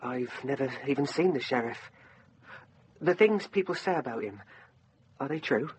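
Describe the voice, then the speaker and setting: A young actor playing a loyal, plucky youth held prisoner in a radio drama. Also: male voice, mid-range voice, lively intonation, moderate pace, breathy edge. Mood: defiant. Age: young adult.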